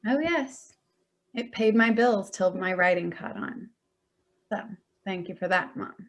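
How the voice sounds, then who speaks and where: An actress playing Danielle, a sardonic grown daughter, in a staged play reading. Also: female voice, medium pitch, animated, measured pace, close to an everyday voice. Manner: sardonic, wry.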